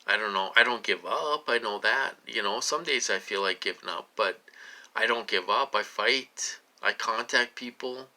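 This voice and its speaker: A man in his late forties giving a rambling personal monologue, halting and repeating himself as he dwells on his questions. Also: fairly low pitch, pushed and bright. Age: late 40s. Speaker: vlogger.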